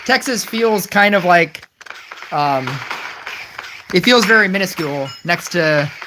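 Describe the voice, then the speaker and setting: A chatty mobile gaming streamer talks casually to viewers at a mid-range pitch. The talk rambles, full of "like" and restarts, with wry, amused asides. Steady hiss sits under the voice, with clicking sounds throughout. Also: a little slow. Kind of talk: casual livestream chat, reading viewer messages. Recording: noisy stream audio with clicks.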